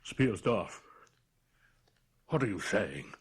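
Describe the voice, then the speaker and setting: Husky, anxious father in horror drama. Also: on the low side, big pitch swings, slow, full character voice. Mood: shocked. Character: alarmed, anxious gentleman father of a threatened daughter. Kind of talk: radio horror drama acting.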